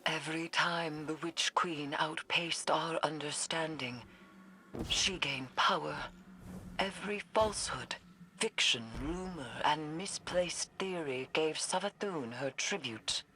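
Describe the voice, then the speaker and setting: A low-voiced occult priestess from a video game, speaking very slowly with ceremonial gravity. She sounds solemn and portentous, with clear ambience behind her. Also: sharp tone, slight background noise, full character voice. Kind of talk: scripted video game dialogue.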